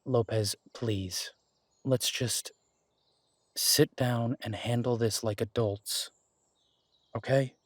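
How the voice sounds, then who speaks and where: A steady detective who protects the one he loves, acted by a man, speaking slowly in a husky, mid-pitched voice. He soothes and pleads rather than shouts, close to a dry mic. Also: dry recording. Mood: placating. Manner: soft and reassuring, pleading, peacemaking. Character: steady, protective male detective, tender with his partner. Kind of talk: audio roleplay drama acting.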